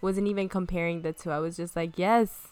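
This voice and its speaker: A woman's voice: lowish voice, fairly even intonation, brisk, darkish tone, clear, resonant tone, no room sound. A young woman from Miami, a podcast guest, talking in an earnest, reflective way in casual chat. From Miami, United States. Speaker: podcast guest, school counselor.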